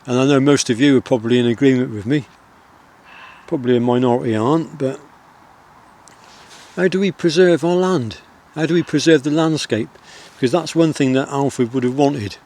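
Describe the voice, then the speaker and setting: A middle-aged man with a Wiltshire country accent, vlogging outdoors in the countryside and reflecting in a soft, rambling way full of pauses. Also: medium pitch. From England. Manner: soft-spoken, rambling, with many pauses and fillers.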